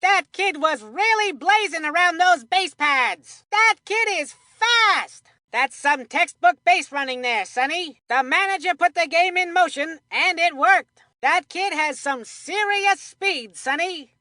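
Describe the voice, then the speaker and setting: An actor voicing an excitable, folksy cartoon commentator calling kids' baseball with corny, punchy one-liners. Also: very high-pitched, dry recording, clean studio sound, full character voice. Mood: excited, amazed. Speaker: sports commentator.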